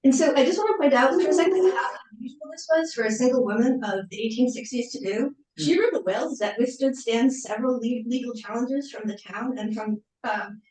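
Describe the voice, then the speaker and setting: Soft-spoken woman, echoey and distant. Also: fairly high pitch. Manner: soft-spoken, with frequent fillers. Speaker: library director. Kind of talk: community presentation. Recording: echoey room, far from mic.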